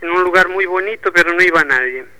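A Portuguese man speaking Spanish as a radio guest, thoughtful and halting, often repeating words as he searches for them. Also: high voice, sharp tone, slight background noise, low-quality recording. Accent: Portuguese speaking Spanish, with a touch of Argentine Spanish. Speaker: poetry bookshop owner, guest. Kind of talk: radio interview.

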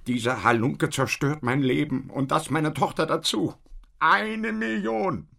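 An actor playing an indignant, excitable middle-aged French father in a radio drama, insistent and husky. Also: on the high side, a little slow, full character voice. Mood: aggrieved, insistent.

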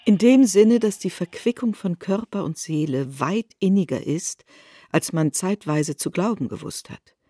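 A woman's voice: on the low side, lively intonation, measured pace, dark tone, slightly rough, no echo, studio quality, soft background music. A woman narrating a literary radio drama in a formal, explanatory manner.

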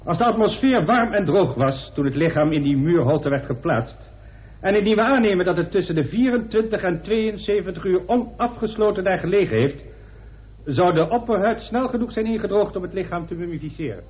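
A man's voice: sits high, animated, fairly quick. A man playing a precise, assured doctor giving clinical testimony as an expert witness in a radio drama. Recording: tinny band-limited old radio recording.

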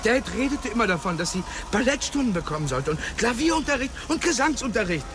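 Jumpy haunted cop, high voice all over the place, radio play w loud city noise. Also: male voice, sing-song, quick pace. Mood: confessional. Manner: intense, confessional, pushy. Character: volatile male cop haunted by his sister. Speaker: police detective. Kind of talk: German radio drama acting.

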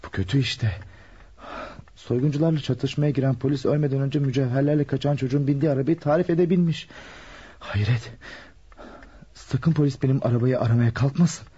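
A man's voice: mid-range voice, fairly quick, dark tone, husky, natural voice. An actor playing a nervous ordinary man caught up in a crime in a radio drama, hesitant and flustered. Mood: alarmed. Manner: muttering to himself, hesitant, flustered. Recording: dull lo-fi radio recording.

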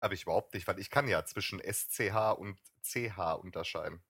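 A man's voice: sits low, a little fast, fairly bright tone, slightly rough, dry recording, studio quality. A man co-hosting a casual streamed podcast, teasing and needling his co-host.

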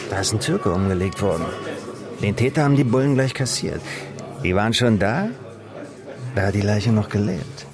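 A man's voice: on the low side, normal speed, pauses often, lightly acted. Man playing a terse streetwise informant, music behind. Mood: wary, terse. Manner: terse, slangy. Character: wary, streetwise male underworld informant in a bar. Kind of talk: German radio crime drama acting.

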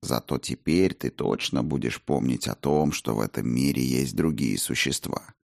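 A man voicing a calm, self-satisfied monk teacher in an audiobook, speaking slowly and weightily in aphorisms. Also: very deep voice, gravelly, no echo, studio quality, voice pushed down. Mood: calm, smug.

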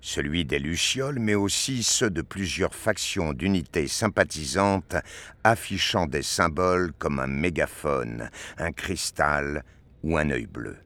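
Very deep-voiced male narrator. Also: expressive, unhurried, fairly bright tone, no room sound. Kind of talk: scripted narration of a science fiction audio drama.

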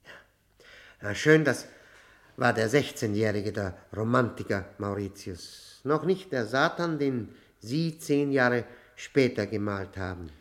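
An actor playing a bitter, educated middle-aged convict in a 1960 radio drama, halting, reflective and ironic in manner. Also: male voice, on the low side, animated. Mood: wistful, brooding. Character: bitter, brooding, educated male convict looking back on youth.

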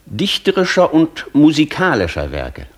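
An actor playing an imperious, easily irritated scholar in a German radio play, lecturing with pompous condescension. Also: male voice, a little high, huge pitch swings, measured pace, full character voice. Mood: pompous, amused. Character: imperious, vain old scholar Faust, easily irritated, dictating.

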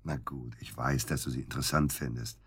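A man acting a bitter, middle-aged husband in a naturalistic German radio drama, with a husky voice and a blunt, emphatic way of repeating himself. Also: steady tone, fairly quick, a bit bright, exaggerated voice. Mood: dismissive, sardonic. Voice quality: husky low voice. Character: bitter, hard-drinking husband of middle age, crude and volatile.

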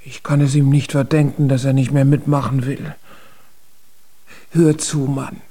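A woman's voice: a bass voice, flat delivery, a little slow, warm tone. An actress playing a worn giant mother in a children's radio drama, pleading and exasperated.

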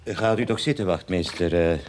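An actor playing a guarded bank director in a radio play, formal, hesitant and curt, with a husky voice. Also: male voice, low-pitched, moderate pace, heavy background noise, natural voice. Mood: formal, regretful. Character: stiff, guarded male bank director, officious.

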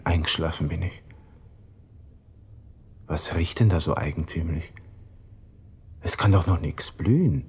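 An actor playing a proud, despairing young army lieutenant with a Viennese accent, muttering to himself in a radio drama monologue. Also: male voice, deep voice, darkish tone, husky, heavily acted. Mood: drowsy, puzzled. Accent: Austrian, Viennese.

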